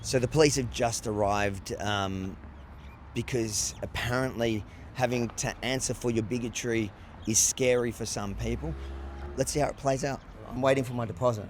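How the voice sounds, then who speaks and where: A man's voice: mid-range voice, steady tone, moderate pace, a little hiss or hum. A man reporting on the street, firing pointed, challenging questions. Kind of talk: confrontational street interview.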